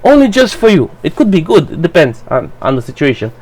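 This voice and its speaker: A man whose first language is Romanian, giving a blunt, profane, halting commentary for his channel. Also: a little high, very animated, a little mellow, slightly rough, poor audio. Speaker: vlogger. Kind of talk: rambling personal commentary.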